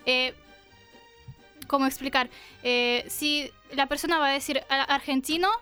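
A woman in her twenties from Russia with a Russian accent, speaking as a guest in a radio studio and pausing often to search for words. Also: high-pitched, fairly even intonation, bright, forceful tone, faint music behind. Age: 20s. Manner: hesitant, searching for words with many fillers. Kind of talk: interview answers. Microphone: studio microphone.

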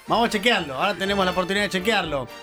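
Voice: medium pitch, racing, over music. Chatty Rioplatense radio host. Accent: Rioplatense Spanish. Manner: chatty, laughs often. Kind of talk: radio interview. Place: radio studio.

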